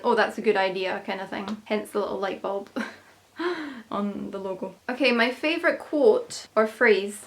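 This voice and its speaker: A young woman from Scotland with a Scottish accent, chatting casually to camera on her own channel, upbeat and quick to laugh. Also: mid-range voice, a bit bright. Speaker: YouTube vlogger.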